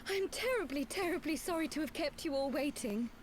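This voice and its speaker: A woman's voice: high-pitched, animated, fairly quick, noisy background. A woman playing a small, teasing, self-assured fantasy scholar in a video game, with wry, precise and formal phrasing. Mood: apologetic.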